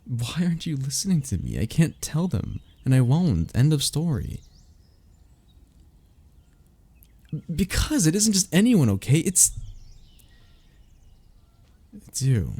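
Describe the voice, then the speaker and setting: A man acting a lovesick, anxious young man in an intimate romantic audio roleplay, with a halting, emotional delivery and breathy murmurs. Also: a little high, sing-song, warm tone, dry recording, exaggerated voice. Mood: defensive, anguished. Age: young adult. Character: lovesick, anxious young man confessing to childhood best friend.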